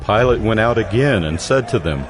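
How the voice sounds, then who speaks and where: A man's voice: fairly low pitch, lively intonation, normal speed, music in the background, low-quality recording. A man narrating scripture from a script as a solemn dramatized audio Bible storyteller.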